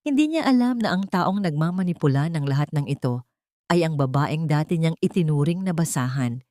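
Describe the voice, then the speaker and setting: A woman reading a dramatic love story as scripted narration, in a measured, steady way. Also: low-pitched, no echo, clean studio sound.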